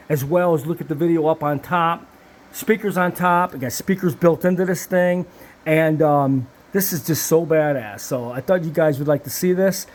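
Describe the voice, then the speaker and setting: A man with a somewhat high voice talks through technical gear in a casual way. He rambles along with hands-on enthusiasm, explaining as he goes like a technician at his own bench. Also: darkish tone. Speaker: video transfer technician showing equipment. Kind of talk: casual equipment walkthrough.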